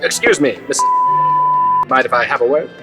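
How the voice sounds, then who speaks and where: An actor playing a brash, wisecracking celebrity-news reporter in a glib, cocky manner for a comedic audio drama. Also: male voice, mid-range voice, animated, normal speed, in a large hall, close to an everyday voice, low-quality recording. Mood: cocky, sparring. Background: music.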